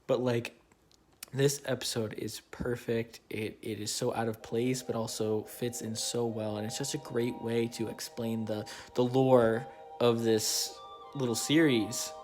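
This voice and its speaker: A man's voice: medium pitch, little pitch movement, a little slow, a little mellow. A young man hosting a casual video essay as a horror fan, speaking informally and earnestly with some swearing. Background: clear background music.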